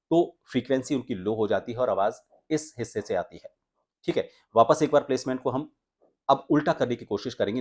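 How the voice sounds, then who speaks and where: आदमी, वॉइस कोच, हिंदी लहजा, हमिंग करके अभ्यास दिखाता है, मध्यम पिच. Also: male voice, mid-range voice, a little mellow. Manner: explanatory, demonstrates humming sounds. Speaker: voice coach and anchor. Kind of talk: voice training lesson.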